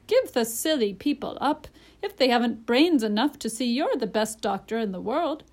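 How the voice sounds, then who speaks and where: Bossy female storybook parrot, played by a woman: high, swooping, proud and emphatic. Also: animated, moderate pace, darkish tone. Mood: bossy, insistent. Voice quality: high-voiced. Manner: emphatic, instructive.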